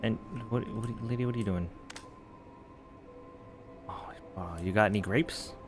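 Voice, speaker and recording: A man's voice: on the low side, a little slow, over music. A man giving casual, joking commentary while playing a video game, often muttering to himself. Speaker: gameplay streamer.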